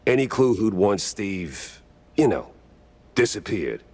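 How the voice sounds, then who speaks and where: A deep, world-weary cartoon mouse detective. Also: male voice, very animated, slow pace, darkish tone, exaggerated voice. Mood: weary, sardonic. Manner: dry, sardonic. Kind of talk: 1930s noir cartoon game voice acting.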